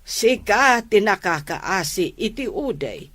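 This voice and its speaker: A stern Filipino mother , played by a woman, speaks very slowly at a medium pitch. She admonishes her grown child deliberately, as in a radio-style family drama. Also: sing-song, fairly bright tone, full character voice. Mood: reproachful concern. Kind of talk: audio drama dialogue.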